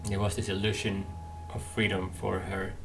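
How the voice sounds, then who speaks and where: A man hosting a game playthrough, giving thoughtful commentary in a halting way, as if working it out aloud. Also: sits low, little pitch movement, gravelly, noisy background. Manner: halting, thinking aloud with long pauses. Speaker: gaming playthrough host.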